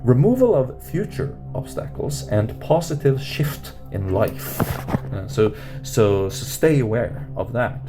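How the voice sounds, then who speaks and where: A man teaching meditation off the cuff, rambling with many fillers and restarts and lifting his pitch at the end of questions. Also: lively intonation, a little slow. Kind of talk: unscripted teaching talk. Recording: music playing under voice. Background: guitar music.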